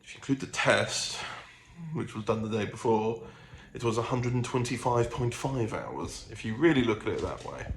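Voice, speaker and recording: A man's voice: lowish voice, lively intonation, normal speed, poor audio. A man chatting casually in a vlog, rambling and hesitant as he goes. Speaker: vlogger. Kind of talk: casual chat to camera. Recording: clear background noise.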